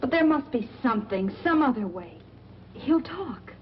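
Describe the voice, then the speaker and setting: A woman's voice: high voice, sing-song, moderate pace. An actress playing a shrewd, world-weary woman drawn to bad men, knowing and sardonic in a 1940s noir style. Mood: alarmed pleading. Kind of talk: 1940s film noir acting. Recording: dull, noisy old film soundtrack.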